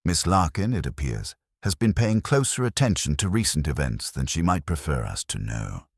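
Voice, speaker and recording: A man's voice: very deep voice, big pitch swings, moderate pace, slightly rough, no echo, clean studio sound, full character voice. A man voicing a courteous, methodical police inspector in a mystery story, considerate and casually probing. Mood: polite probing.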